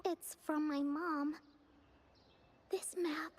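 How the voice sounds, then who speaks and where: Shy, high-voiced, slow-speaking child. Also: female voice, steady tone. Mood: wistful. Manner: hesitant, polite. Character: shy, wistful young child with a wish. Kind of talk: video game voice acting.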